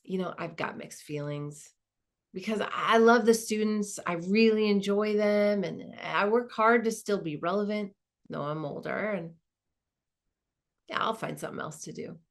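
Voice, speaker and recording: A woman playing a reflective, earnest professor in her sixties, in a halting, conversational stage monologue. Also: medium pitch, natural voice. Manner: halting, thoughtful, colloquial. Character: reflective, earnest 64-year-old woman, professor nearing retirement. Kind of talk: dramatized first-person monologue, conversational.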